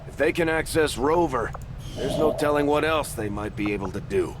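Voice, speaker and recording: A man playing a serious military officer in a video game, briefing his commander crisply and formally. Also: on the high side, moderate pace, lightly acted. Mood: serious, urgent. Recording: game audio with clear background noise.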